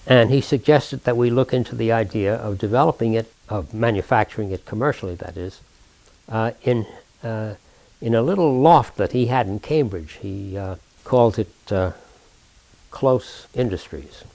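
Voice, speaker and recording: An older man recounting technical work for an oral history, precise and formal, speaking deliberately with halting pauses mid-sentence. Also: fairly low pitch, vocal fry, darkish tone, rough recording. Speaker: inventor recounting his own work.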